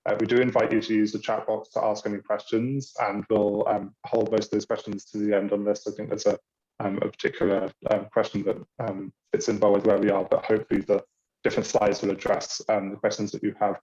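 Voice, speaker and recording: A British man giving an online orientation talk for a university's international office, pausing often with ums and uhs. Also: fairly low pitch, little pitch movement, darkish tone, muffled recording. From Britain. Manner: hesitant, many ums and uhs. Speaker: university international programs staff. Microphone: video call.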